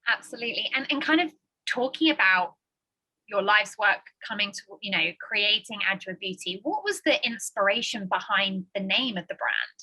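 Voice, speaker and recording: A woman's voice: mid-range voice, lively intonation, moderate pace, pushed and bright, poor audio. A woman interviewing a guest on a podcast in a conversational way.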